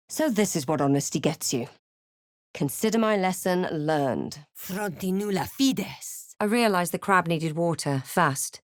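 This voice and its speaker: A woman playing an adventurous, thoughtful fantasy hero in a role-playing game, with a measured, literary and dramatic delivery. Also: on the low side, no room sound, studio quality. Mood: wry, watchful, then incanting spells. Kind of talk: scripted game voice lines and narration.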